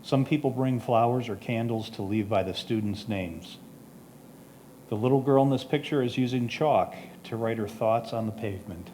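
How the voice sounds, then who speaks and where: Man, mid pitch, scripted caption narration, faint room noise. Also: moderate pace, dark tone. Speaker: club member reading photo captions. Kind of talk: scripted narration read aloud.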